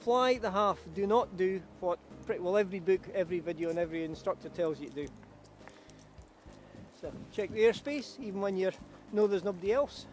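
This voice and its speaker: A middle-aged man from Kenya, a paragliding instructor, chatting with self-assured quick asides outdoors by a beach. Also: high-pitched. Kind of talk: casual chat to camera outdoors. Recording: outdoor wind and traffic noise.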